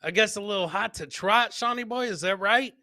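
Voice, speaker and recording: A man's voice: high voice, brisk, pushed and bright, no room sound, studio quality. A man hosting a live comedy show, riffing on video clips in a boisterous, jokey way and repeating catchphrases. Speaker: podcast host.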